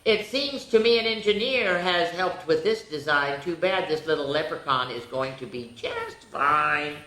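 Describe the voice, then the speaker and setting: A woman's voice: sits low, expressive, moderate pace, a bit bright. Woman acting out cocky little storybook leprechaun man, rhyming sing-song taunts, mischievous trickster, echoey room. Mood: taunting. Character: cheeky boastful quick little male leprechaun trickster. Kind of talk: picture-book read-aloud for children.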